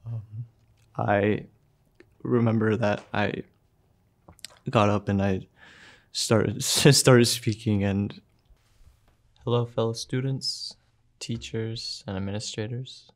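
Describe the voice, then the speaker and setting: A young man telling his own story in a short film, soft-spoken and halting, with pauses and fillers. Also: fairly low pitch, steady tone. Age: young adult. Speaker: student filmmaker narrating his own story. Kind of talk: personal narration for a short film.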